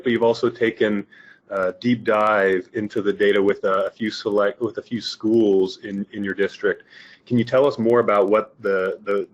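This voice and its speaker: A man's voice: mid-range voice, lo-fi sound. A man moderating a webinar, asking questions in a hesitant way with many restarts and fillers. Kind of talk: casual interview questions.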